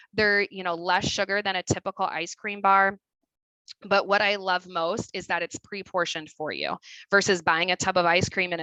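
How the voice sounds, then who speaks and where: A woman's voice: lowish voice, pushed and bright, no room sound, rough recording. A woman dietitian with a Midwestern accent, giving a chatty webinar presentation over a video call with many fillers. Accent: Midwestern American.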